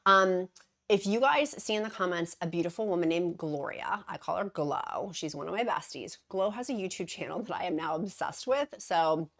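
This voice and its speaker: Brief: upbeat woman vlogger, chatty and effusive, slightly low voice, lots of asides. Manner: bubbly, gushing, self-deprecating, rambling asides. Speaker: vlogger, weight-loss journey host. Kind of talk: casual chatty vlog to camera.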